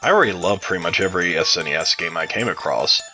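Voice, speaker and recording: A man's voice: sits low, lively intonation, fast, sharp tone, soft background music. A man giving prepared answers as a podcast interview guest, sounding like he reads them out. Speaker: interview guest, game mod developer.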